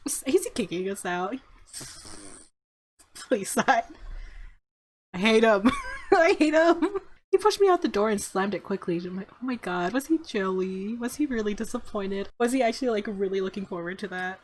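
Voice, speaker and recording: A woman's voice: medium pitch, lively intonation, normal speed, slight background noise. Giggly woman playing through a visual novel. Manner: giggly, reactive. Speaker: game streamer, let's player. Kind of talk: gameplay commentary with dramatic read-aloud of visual novel text. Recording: music under the voice. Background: game music.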